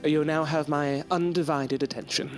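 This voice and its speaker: An actor playing an absorbed, aloof, ambitious gentleman scientist in a gothic audio drama, with formal theatrical phrasing. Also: on the high side, fairly even intonation, normal speed, soft background music, lightly acted. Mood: intrigued, grandiose.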